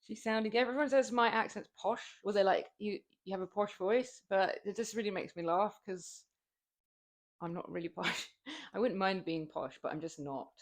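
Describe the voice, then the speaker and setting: A woman's voice: mid-range voice, normal speed. A young woman with a southern English accent, chatting casually with her stream viewers while lifting weights, rambling and laughing often. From southern England. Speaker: fitness streamer.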